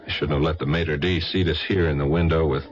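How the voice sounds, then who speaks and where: An actor playing a tough, plain-spoken American narcotics agent in a 1970s radio drama. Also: male voice, extremely low pitch, steady tone, fast. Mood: affable. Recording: dull, noisy old radio recording.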